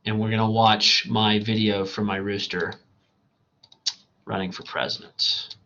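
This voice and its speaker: Male instructor, casual slideshow lecture, bright tone, slightly hoarse, muffled computer-mic sound. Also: lowish voice, level tone, measured pace. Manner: conversational and digressive, asks "right?" often. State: losing his voice. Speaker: college writing instructor.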